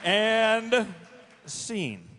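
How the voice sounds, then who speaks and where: A man's voice: sits high, sing-song, very slow. A man working as a panel moderator, announcing live from a stage. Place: large convention hall stage. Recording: echoey convention hall, faint noise.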